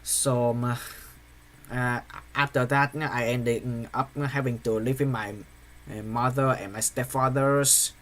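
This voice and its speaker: A young man with a Vietnamese accent speaks in English, which is not his first language, in a halting, hesitant way, full of fillers and restarts. His mid-range voice often trails off quietly as he gives an apologetic personal confession. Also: medium pitch, steady tone. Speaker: channel host.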